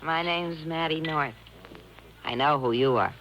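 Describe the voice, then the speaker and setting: An actress playing a tipsy, flirtatious blonde in a 1950s radio drama, coy and drawling. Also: female voice, deep voice, measured pace. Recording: dull, hissy old radio tape.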